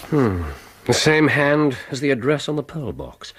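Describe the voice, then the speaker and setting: An actor with an English accent playing a brilliant, teasing Victorian detective, musing and precise, in British radio drama style. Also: male voice, medium pitch, very animated, fairly bright tone, slightly rough, heavily acted. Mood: reading, intrigued. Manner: musing, precise, with hums and drawn-out phrases.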